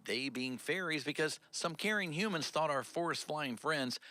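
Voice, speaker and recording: A man's voice: on the high side, normal speed, bright, forceful tone, no room sound. A man anchoring TV news in a playful, folksy and chatty way. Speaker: news anchor and feature reporter.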